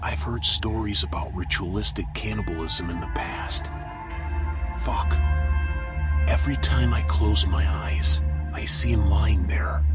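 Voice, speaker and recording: A man voicing a weary, self-loathing raccoon private eye in a noir video game, brooding and bitter, muttering with a whispery edge. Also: fairly low pitch. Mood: shaken. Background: music.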